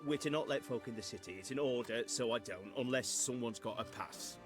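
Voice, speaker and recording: Game gate guard, male actor: officious but easily swayed, hesitant grumbler, mid-pitched, music behind. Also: brisk, natural voice. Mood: wary, officious.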